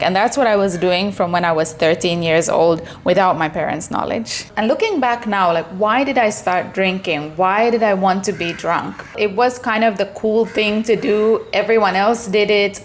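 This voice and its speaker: A woman's voice: mid-range voice, slight background noise. A young adult woman from Finland, telling a personal story in a candid, earnest vlog. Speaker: vlogger.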